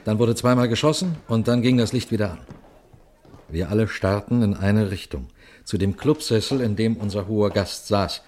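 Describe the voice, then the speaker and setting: An actor playing a sober, watchful police detective, telling his case in a measured, formal radio crime drama style. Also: lowish voice, darkish tone, slight background noise, close to an everyday voice. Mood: tense, observant. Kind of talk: radio crime drama, suspenseful first-person narration and dialogue.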